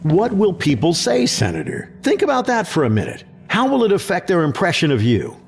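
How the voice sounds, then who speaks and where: Man playing a high-pitched, prickly government skeptic. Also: huge pitch swings, fairly quick, creaky, breathy edge, faint music behind, heavily acted. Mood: irritated. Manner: snippy, sardonic. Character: prickly, sarcastic, skeptical male government secretary. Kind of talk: audio drama acting.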